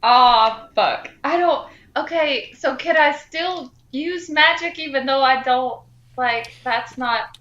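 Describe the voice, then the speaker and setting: A woman with a slightly high voice talks slowly and hesitantly as a tabletop player, casual and prone to swearing. The room echoes noticeably around her. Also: a bit bright. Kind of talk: casual chat during play. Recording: roomy, slightly dull sound.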